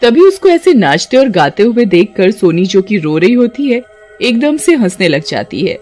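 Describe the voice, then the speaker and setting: A woman's voice: mid-range voice, sing-song, normal speed, a little mellow, soft background music. A woman narrator reading a scripted children's story.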